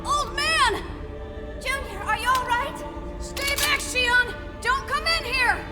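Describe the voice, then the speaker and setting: A scrappy, hot-tempered youngster in a game drama. The voice is extremely high and fiery, with loud music underneath. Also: female voice, takes it slowly. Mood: frantic. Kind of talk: English dubbed video game cutscene acting.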